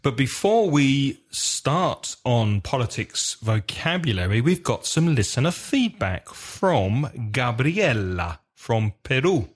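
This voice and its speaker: A man hosting an English lesson podcast, explaining carefully in a slow, clear teacher's manner. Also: medium pitch, sing-song. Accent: British.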